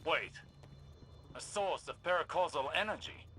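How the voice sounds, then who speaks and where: Stern, higher-voiced older mentor. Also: male voice, lively intonation, measured pace, over music. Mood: commanding. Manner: formal, authoritative, exacting. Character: stern, impatient older male scholar-warrior mentor. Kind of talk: video game mission briefing dialogue.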